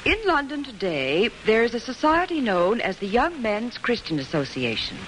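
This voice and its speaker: Genteel radio wife, high lilting voice. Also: female voice, sing-song, moderate pace. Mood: reading aloud. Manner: genteel, carefully articulated. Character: supportive, devout, sensible wife of a preacher. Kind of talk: old-time American radio drama acting. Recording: noisy vintage radio drama recording.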